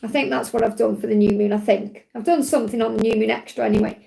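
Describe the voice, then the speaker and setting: A woman hosting a live spiritual card reading, her voice croaky from overwork, reassuring and repeating her key phrases. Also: a little high, steady tone, fast, dark tone. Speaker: spiritual card reader, livestream host.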